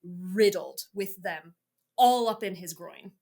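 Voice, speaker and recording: A woman's voice: medium pitch, slow pace, dark tone. A woman co-hosting a true-crime podcast in casual conversation, with a wry, sarcastic manner and loose, sweary phrasing. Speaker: podcast co-host.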